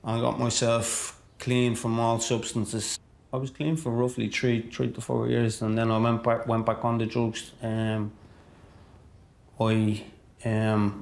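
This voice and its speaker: A man's voice: lowish voice, vocal fry. A man from inner-city Dublin with a thick Irish accent, giving an informal personal account as a client of a charity. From Dublin, Ireland. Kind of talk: informal personal testimony to camera.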